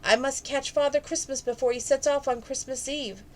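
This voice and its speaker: A woman's voice: sits high, steady tone, moderate pace, natural voice. Woman doing little girl penguin, wistful, hopeful, storybook voice.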